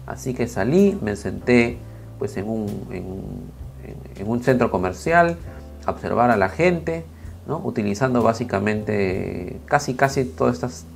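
A man in his thirties, slightly hoarse, talking casually on a lapel mic in a small home studio, with many fillers and restarts. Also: mid-range voice, animated, a little slow, faint music behind. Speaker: video creator reviewing camera footage. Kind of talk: casual talk to camera, then screen walkthrough.

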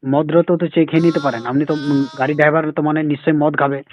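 A man making a casual prank phone call, persistent and deadpan. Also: on the high side, quick pace, soft background music. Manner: deadpan, persistent, evasive with absurd questions. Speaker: prank caller, comedy show host.

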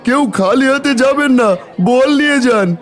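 A man voicing a lively fish hawker calling out his wares in a folk-tale cartoon. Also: extremely high pitch, a little fast, voice pushed up. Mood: hawking. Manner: loud sales calling.

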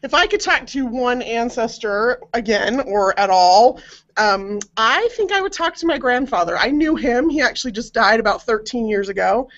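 A woman's voice: fairly high pitch, lively intonation, normal speed, a bit bright. A middle-aged American woman telling a personal family story casually as a panelist on a web video call. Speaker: panelist on a genealogy web show. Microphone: webcam video call. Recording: dull, compressed internet call audio.

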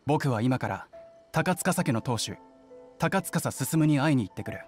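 A man's voice: medium pitch, lively intonation, a little slow, faint music behind, lightly acted. A man voicing an earnest young nobleman in an anime game, burdened and sober, with formal speech. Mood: resigned, resolute. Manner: formal, sober, self-reproaching.

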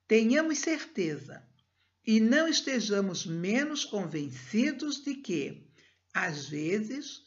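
Older female spiritist lecturer, unhurried reading, muffled video call audio. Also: medium pitch. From Brazil. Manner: slow, measured reading with soft prayerful passages. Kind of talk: reading and prayer at a spiritist meeting. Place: home room, indoors. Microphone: laptop webcam on a video call.

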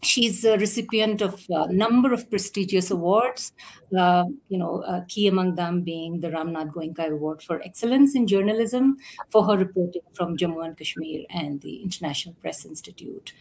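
A woman's voice: fairly low pitch, moderate pace, vocal fry, a little hiss or hum, poor audio. A woman in her forties moderating a video call, reading a formal introduction with many uh and um fillers. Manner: many fillers, uh and um, between phrases. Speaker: event moderator introducing the session. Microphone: laptop mic on a video call.

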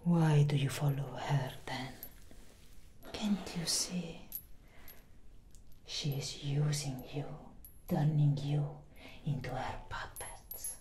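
A woman acts out a menacing female elder vampire in an ASMR roleplay. Her whispery voice is low and unhurried, full of cold command. It sounds in a roomy echo with faint noise. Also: steady tone, dark tone. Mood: probing. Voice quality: breathy, whispery. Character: non-human vampire. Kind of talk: soft-spoken ASMR roleplay.

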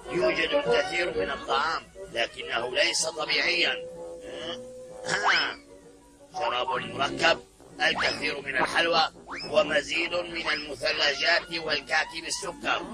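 A man's voice: fairly high pitch, moderate pace, creaky, poor audio. A man voicing a plucky, tough, upright male sailor hero in a dubbed cartoon, with a hearty, instructive manner. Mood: friendly advising. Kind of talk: Arabic cartoon dubbing. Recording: loud music under the voice.

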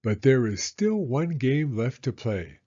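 An older man reading a children's story aloud as a storyteller, with expressive, deliberate phrasing for young listeners. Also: mid-range voice, slightly rough, muffled recording. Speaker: storyteller, elementary school teacher.

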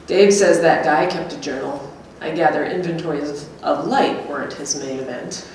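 A woman's voice: low-pitched, normal speed, a little mellow, lightly acted. A woman performing a wry, teasing, blunt character in a staged literary reading. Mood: dry, challenging. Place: small gallery room before an audience. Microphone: stand microphone, heard from a distant camera. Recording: echoey room, distant mic, faint noise.

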